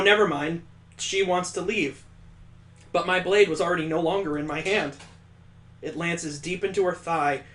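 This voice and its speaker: A man's voice: on the high side, fairly bright tone, echoey room. A man reading a visual novel aloud, mixing dramatic narration with sarcastic comic asides. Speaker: let's play host.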